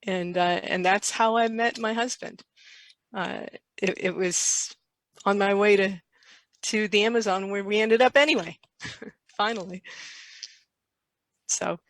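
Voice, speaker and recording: An older woman, a guest, telling a lively personal anecdote and quoting herself along the way. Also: mid-range voice, measured pace, pauses often, no echo. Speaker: interview guest. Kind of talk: casual storytelling.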